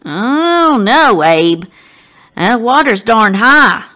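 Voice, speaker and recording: Woman doing a folksy frontier boy, lively pitch. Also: extremely slow, full character voice. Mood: worried. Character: folksy ten-year-old frontier boy, proud, cautious friend.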